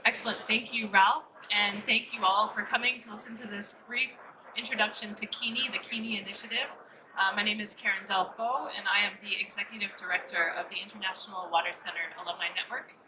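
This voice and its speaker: A woman's voice: mid-range voice, fairly even intonation, a little fast, background noise. A woman from California with a Californian American accent, giving an upbeat conference presentation and asking and answering her own questions.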